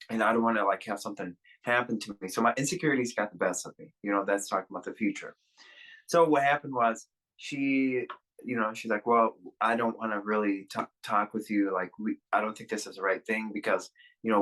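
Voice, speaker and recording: Halting, bright-voiced young man. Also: on the low side, rough recording. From Russia. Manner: rambling, halting, many fillers and restarts. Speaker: podcast guest. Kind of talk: candid personal interview. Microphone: video call.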